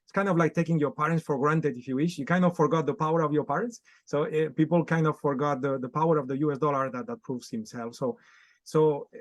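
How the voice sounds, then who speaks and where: A man's voice: a little high, steady tone, fast, no echo. A man on a video call, explaining markets as a guest in a podcast interview, with many fillers and restarts. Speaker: guest trader.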